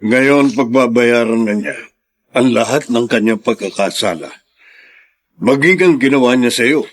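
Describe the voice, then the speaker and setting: An actor playing a steady middle-aged male lawyer in a Filipino radio drama, speaking in a grave, measured way. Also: mid-range voice, close to an everyday voice. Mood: resolute. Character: steady, reassuring male attorney seeking justice.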